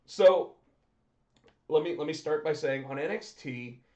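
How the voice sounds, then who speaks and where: A man's voice: a little high, a little slow. Chatty man losing his voice. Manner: excited, talkative, repeats words when starting. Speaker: podcast co-host. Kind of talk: casual chat about pro wrestling. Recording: roomy, dull lo-fi sound.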